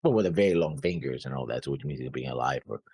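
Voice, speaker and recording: A very deep man with a husky voice and a lively, swinging pitch answers in hesitant bits and dry sarcasm. The sound is dry and close, with no room around it. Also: fast talker, no echo. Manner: hesitant, sarcastic, lots of "you know". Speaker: co-host. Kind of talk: casual chat.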